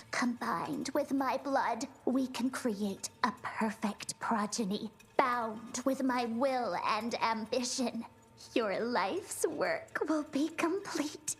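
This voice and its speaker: A fanatical video game villainess with cold ambition. She speaks very slowly in a fairly high voice, solemn and reverent, slipping toward whispers. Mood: cold resolve. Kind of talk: video game cutscene acting.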